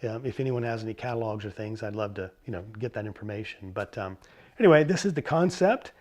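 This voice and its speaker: Hoarse man hosting a gear talk. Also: on the low side, quick pace, muffled recording. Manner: relaxed, rambling with fillers and self-corrections. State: hoarse from a cold. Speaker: host of a vintage stereo channel. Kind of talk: casual explainer talk.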